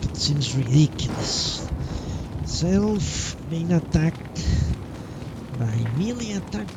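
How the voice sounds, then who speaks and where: A man's voice: on the high side, animated, takes it slowly, gravelly, heavy background noise. A man doing casual live commentary on a game, musing and muttering to himself in short fragments as he thinks aloud. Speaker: live streamer playing a role-playing game.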